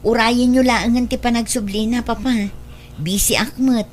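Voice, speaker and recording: A caring grown daughter and practical mistress of the house, as a woman acts her in a radio drama. Her voice is fairly high and she speaks as someone in charge. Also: steady tone, moderate pace, slight background noise, natural voice. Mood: caring, concerned.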